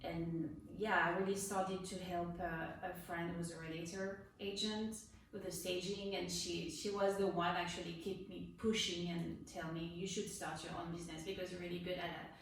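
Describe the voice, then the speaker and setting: A woman's voice: lowish voice, flat delivery, normal speed, hardly any pauses, a little hiss or hum. A woman from the south of France with a French accent, answering interview questions hesitantly, with many fillers and restarts. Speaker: interior stager, guest. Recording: echoey room from far off.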